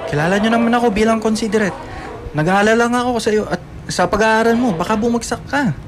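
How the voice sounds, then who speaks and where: A man's voice: high voice, fast talker, noisy background, natural voice. An actor with a Filipino accent playing a considerate, slightly hesitant male college teacher in a radio drama. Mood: concerned, hesitant. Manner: hesitant, gentle. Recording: crowd chatter behind the voice.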